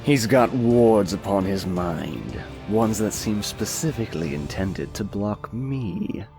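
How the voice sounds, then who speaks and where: A man's voice: on the low side, animated, takes it slowly, music in the background. A man playing a cynical, aloof fantasy lord and mage, speaking with dry, unruffled condescension in an audiobook. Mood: dry, dismissive. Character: cynical, aloof male demon-keeping lord and mind mage. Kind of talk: dramatized fantasy audiobook acting.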